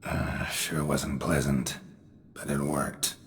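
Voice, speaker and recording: An actor playing a gruff, laconic monster hunter in a video game, with a gravelly, hushed voice and deadpan, clipped delivery. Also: male voice, rumbling low, takes it slowly, a little hiss or hum. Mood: grave, pensive. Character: gruff, laconic male monster-hunting mercenary, world-weary and unflappable. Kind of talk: video game cutscene acting.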